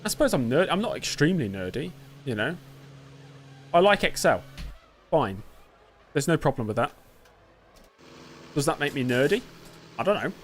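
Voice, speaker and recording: A man's voice: a little high, very animated, normal speed, long pauses, slight background noise. A young British man talking through a racing game live, chatty and self-mocking as he answers his chat. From Britain. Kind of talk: casual live-stream commentary.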